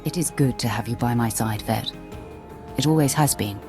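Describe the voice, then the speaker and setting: Composed, commanding dark warrior lady. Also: very deep voice, normal speed. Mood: composed, fond. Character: composed, commanding female Sith lord warrior. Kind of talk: video game cutscene acting. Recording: loud game music under dialogue. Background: game score.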